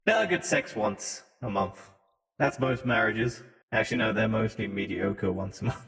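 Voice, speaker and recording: A man's voice: lowish voice, moderate pace, creaky, fairly bright tone. A man co-hosting a podcast with casual, crude joking banter in a reverberant room. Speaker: podcast co-host.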